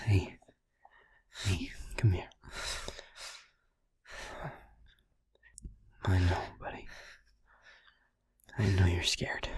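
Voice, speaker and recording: A gruff, protective man played by a male actor in an audio drama. His voice is deep and very husky, full of breath, and he takes his time over each phrase in a close, dry sound. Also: darkish tone, no echo, heavily acted. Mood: gentle, reassuring. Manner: slow, deliberate, halting phrasing. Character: gruff, protective man calming a frightened friend. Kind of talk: audio roleplay acting.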